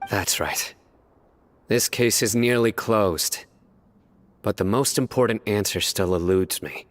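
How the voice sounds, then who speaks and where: Video game investigator: principled, unbending, terse and grave, fairly low pitch, long reverb on the voice. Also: male voice, takes it slowly. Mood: probing, stern. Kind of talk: dramatic video game voice acting. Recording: long reverberant hall echo.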